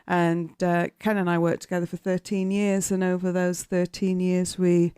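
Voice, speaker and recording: A woman from Britain in her fifties talks in a loud, chatty way, full of ums and laughs. Her voice sits a little low and sounds smooth and clear. The sound is dry and close, with no room around it. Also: little pitch movement, moderate pace, no room sound. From United Kingdom. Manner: chatty, warm storytelling with frequent fillers and laughs. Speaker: guest. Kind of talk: casual podcast interview.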